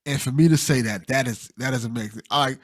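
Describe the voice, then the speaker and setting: A man's voice: mid-range voice, quick pace, no room sound, clean studio sound. A man hosting a podcast in a casual, conversational style, encouraging and supportive toward his guest. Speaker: podcast host.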